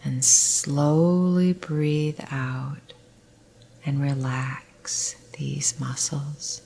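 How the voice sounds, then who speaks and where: A middle-aged woman, a nurse, leading a guided relaxation session in a soft, soothing voice that often drops to a near whisper. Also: deep voice, little pitch movement, very slow, creaky.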